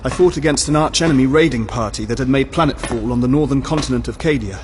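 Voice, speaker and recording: Man acting a deferential soldier, quick and medium-pitched. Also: background noise, lightly acted. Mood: respectful. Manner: eager, respectful, military. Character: dutiful, deferential human soldier, grown man, nervously respectful. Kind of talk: dramatic audio-drama acting.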